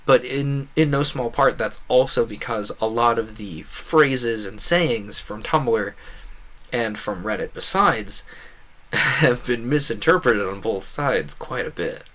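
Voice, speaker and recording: A man's voice: medium pitch, takes it slowly, fairly bright tone. A man chatting casually as he streams a video game, musing aloud and often rephrasing himself mid-thought. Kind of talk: casual rambling stream commentary over gameplay.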